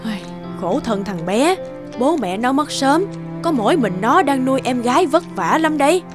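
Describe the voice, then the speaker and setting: Sympathetic, gossipy market seller. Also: female voice, high voice, animated, moderate pace, music in the background. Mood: pitying. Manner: pitying, gossipy. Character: sympathetic, chatty adult market woman selling meat.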